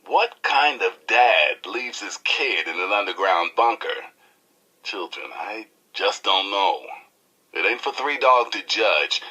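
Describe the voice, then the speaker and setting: A showy American wasteland radio DJ for a video game. His voice is husky yet very forceful, and it swoops widely as he delivers folksy, irreverent mock news and punchy patter. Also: mid-range voice, exaggerated voice, low-quality recording. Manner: theatrical, wisecracking, folksy, drawn-out and punchy. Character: flamboyant, irreverent male post-apocalyptic radio DJ. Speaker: radio DJ and newsreader.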